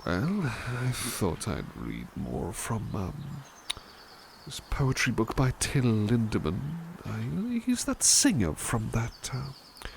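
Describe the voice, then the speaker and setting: A man voicing a doting butler in a soft-spoken ASMR roleplay, talking gently and soothingly with hesitant restarts. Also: medium pitch, wildly swooping pitch, slow pace, gravelly, slight background noise.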